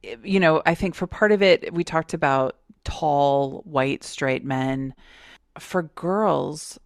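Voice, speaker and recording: A woman hosts a podcast in a low, outspoken voice that carries. The sound is dry and close, with no room echo. She talks in a casual, thoughtful way. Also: slow. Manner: loud, outspoken voice. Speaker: podcast host. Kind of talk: casual conversation.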